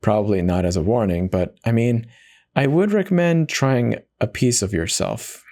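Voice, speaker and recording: A man's voice: lowish voice, animated, normal speed, a little mellow. A man voicing a self-justifying, conversational narrator who casually confesses in a first-person dramatic horror reading. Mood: casual, defensive. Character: confessional devout man turned self-eater, casually rationalizing.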